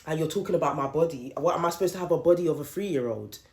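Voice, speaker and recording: A woman's voice: deep voice, very fast, darkish tone. A woman, hosting a podcast and venting her thoughts in a candid solo monologue, with emphatic repetition. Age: late 30s. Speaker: podcast host. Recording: roomy echoey room.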